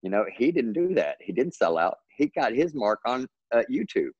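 A man's voice: moderate pace, breathy edge, no room sound, dull audio. A man from Texas with a Texan accent, a writer chatting earnestly and at length as an interview guest. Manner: earnest, rambling, gets excited and pitches up. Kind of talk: casual interview chat.

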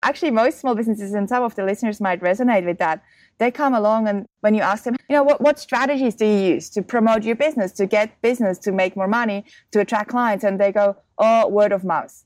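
A woman's voice: medium pitch, fast talker, a little mellow, no room sound. Woman from Switzerland, thirties, upbeat and explanatory, dry close sound. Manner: upbeat, explanatory, laughs easily. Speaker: guest, marketing business co-founder. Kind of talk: podcast interview.

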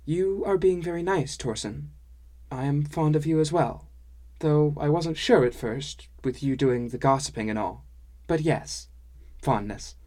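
A man's voice: on the high side, unhurried, mellow, pitched above the performer's own voice, lightly acted. A man voicing a kindly, frank, easily amused dwarf woman with quaint foreign-style phrasing in an audiobook. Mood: fond. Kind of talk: audiobook character dialogue.

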